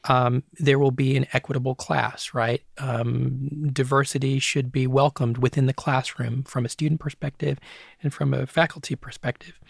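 A middle-aged man answering interview questions as an advertising strategist and academic, measured and often restarting phrases, tagging points with "right?". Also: mid-range voice, creaky, dry recording, studio quality.

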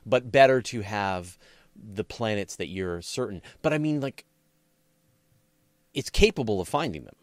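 A man with a fairly low voice hosts an interview in a casual, conversational way. He thinks aloud through long, informal questions, recorded dry with no room sound. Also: lively intonation, a little slow, long pauses, no room sound. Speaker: interviewer, host.

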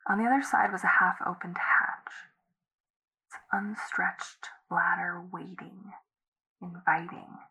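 An actress playing a young woman eerily entranced by an old house, speaking in a hushed, halting, dreamy way in an audio drama. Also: fairly low pitch, steady tone, gravelly, husky, exaggerated voice, rough recording. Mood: hushed, drawn in. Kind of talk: intimate confessional audio drama monologue.

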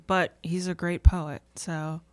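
A young woman with a smooth, clear voice that sits a little low. She co-hosts a podcast and joins in the casual literary discussion. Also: flat delivery, slow pace, a little mellow. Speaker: podcast co-host.

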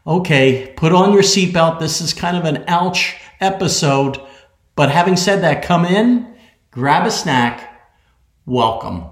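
A man's voice: fairly high pitch, expressive, takes it slowly. Upbeat man reading a podcast intro, echoey. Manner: upbeat, inviting. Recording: roomy echo.

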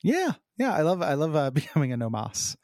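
A man with a froggy, slightly hoarse voice chats casually as a podcast co-host. He talks around a sore tooth, so some sounds come out a little unusual, and he stops and restarts often. The recording is close and dry. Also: fairly high pitch, big pitch swings, darkish tone, dry recording. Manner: halting, lots of fillers and restarts. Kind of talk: casual chat.

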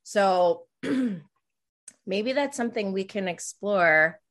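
A woman's voice: medium pitch, lively intonation, extremely slow, a bit bright. A woman of about forty co-hosting a casual reality TV recap, gossipy and prone to rambling tangents. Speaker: podcast co-host.

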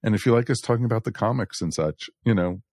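A man's voice: on the low side, fast, a little mellow, no echo, clean studio sound. A man hosting a podcast, chatting casually and addressing listeners in a folksy way. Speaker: podcast host.

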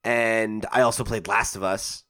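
A man's voice: on the low side, fairly even intonation, a little slow, a bit bright, clear, resonant tone. A man in his twenties co-hosting a gaming podcast, chatting casually and rambling with lots of fillers and restarts. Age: 20s. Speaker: podcast co-host. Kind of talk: casual chat.

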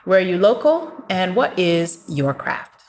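A woman's voice: lowish voice, measured pace, a little hiss or hum. Upbeat woman podcast host. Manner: lively, upbeat, many short affirmations. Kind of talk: interview podcast. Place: guest's home neighborhood.

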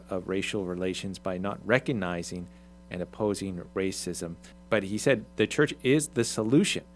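A man with a somewhat low voice hosts a casual talk show and keeps pausing on ums and uhs. A faint hum sits under his speech. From Irish, Swedish ancestry mentioned. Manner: hesitant, many ums and restarts. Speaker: radio show host.

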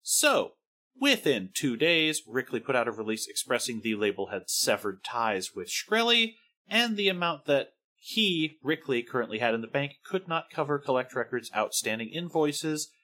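Brief: male podcast co-host, bright, animated delivery, sarcastic and wry. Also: medium pitch, moderate pace. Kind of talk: casual podcast chat about music history.

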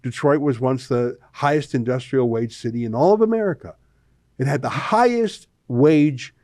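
Man, Canadian accent, political show host, emphatic, colourful dramatic phrasing, mid-pitched, close dry sound. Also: normal speed, a little mellow, no room sound. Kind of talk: opinionated political talk with a guest.

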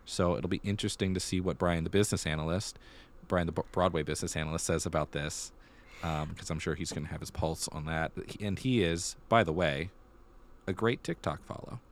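A man's voice: deep voice, brisk, slight background noise. A man hosting a podcast, talking casually through theater news and thinking aloud as he goes. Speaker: podcast host.

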